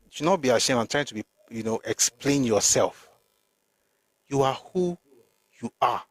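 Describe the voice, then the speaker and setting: A man's voice: medium pitch, animated, moderate pace, no room sound. A middle-aged man with a Nigerian accent, hosting an emphatic, argumentative opinion monologue with frequent restarts. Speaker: host.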